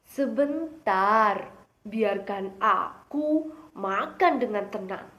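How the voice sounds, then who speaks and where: A woman voicing a greedy, laid-back rabbit in a children's story, drawling in an unhurried, nonchalant way. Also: mid-range voice, animated, fairly bright tone. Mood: relaxed, stubborn.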